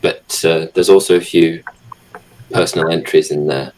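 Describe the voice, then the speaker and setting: A British man improvising descriptions as a player in a tabletop roleplaying show, hesitant and measured. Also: deep voice, steady tone, a little mellow, rough recording. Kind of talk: improvised description of a character's belongings.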